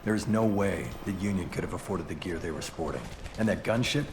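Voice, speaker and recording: An actor playing a tough younger American cop and loyal son in a game cutscene, dry and clipped. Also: male voice, low-pitched, brisk, big-room sound, background noise, natural voice. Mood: serious. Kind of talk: video game cutscene acting. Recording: music under the dialogue.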